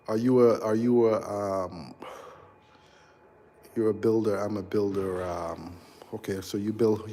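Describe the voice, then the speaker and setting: A man in his forties, an electrical contractor hosting a casual live stream as he walks through a big unfinished house, answering viewers in a halting, chatty way full of ums and uhs. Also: fairly low pitch, mellow, slight background noise. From Barbados. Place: large unfinished house under construction, indoors.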